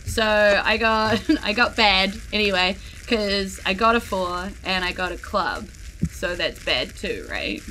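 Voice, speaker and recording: A woman's voice: medium pitch, expressive, slow, background noise. A woman with a New Zealand accent chatting casually as a player in a tabletop game.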